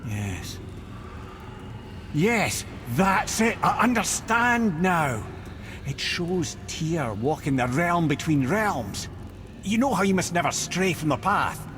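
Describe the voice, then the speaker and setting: An actor with a Scottish accent playing a wry, talkative old storyteller and advisor in a video game. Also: male voice, fairly high pitch, very animated, takes it slowly, music in the background. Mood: intrigued, explaining. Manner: wry, chatty, colourful turns of phrase. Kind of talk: video game character acting. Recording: clear background noise, game ambience.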